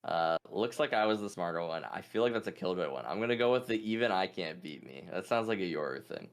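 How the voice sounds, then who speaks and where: A man chatting casually as a quiz contestant, musing out loud in an informal way. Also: sits low, lively intonation, fast, creaky. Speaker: guest contestant, gaming YouTuber. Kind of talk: casual chat, thinking aloud.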